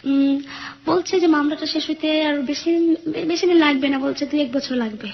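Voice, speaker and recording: A woman's voice: high-pitched, fairly even intonation, moderate pace, dull audio. A young woman with a Bangladeshi accent giving a personal account as an interview guest. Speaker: guest telling her life story.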